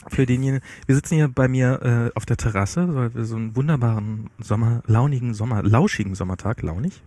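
A man's voice: mid-range voice, fast talker, mellow, dry recording. Rambling, joking man chatting casually. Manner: joking, rambling, self-correcting. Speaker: podcast co-host. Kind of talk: casual chat. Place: outdoor terrace.

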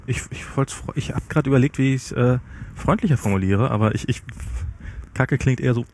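A man's voice: mid-range voice, fairly quick, darkish tone, a little hiss or hum. A young man co-hosting a casual podcast chat, joking and rambling and often correcting himself mid-sentence. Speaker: podcast co-host. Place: outdoor terrace.

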